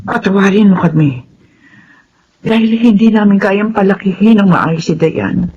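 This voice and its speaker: An actress playing a sorrowful, apologetic rich mother and employer with a measured, earnest manner in a Filipino radio drama. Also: mid-range voice, slightly rough. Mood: remorseful. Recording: dull, muffled audio.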